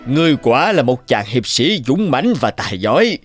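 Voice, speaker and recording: A man's voice: mid-range voice, sing-song, moderate pace, soft background music, close to an everyday voice. A man voicing a jovial, stately middle-aged king in a cartoon. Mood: pleased, regal.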